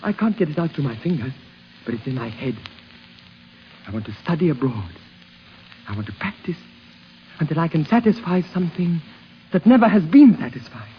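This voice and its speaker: An actor playing a sensitive young grandson in a 1950s radio drama, speaking earnestly and hesitantly, full of emotion. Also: male voice, a little high, sing-song, moderate pace, long pauses. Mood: passionate, yearning. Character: sensitive, yearning young musician grandson, shy but passionate. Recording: muffled, hissy 1950s radio recording.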